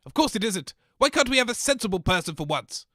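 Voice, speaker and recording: Sarcastic, grumpy comedy host; lively, bright, dry close-mic read. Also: male voice, high voice, fast, dry recording. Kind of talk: scripted comedy sketch.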